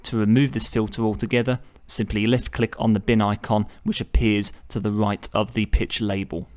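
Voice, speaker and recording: A man narrating a step-by-step screen tutorial as the channel host, measured and instructional. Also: lowish voice, flat delivery, a little mellow.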